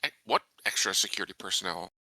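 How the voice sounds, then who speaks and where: A man's voice: deep voice, animated, fast talker, bright, forceful tone, dry recording. A man playing a tabletop game, talking things through casually in a cautious, questioning way. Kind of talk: casual tabletop roleplay discussion.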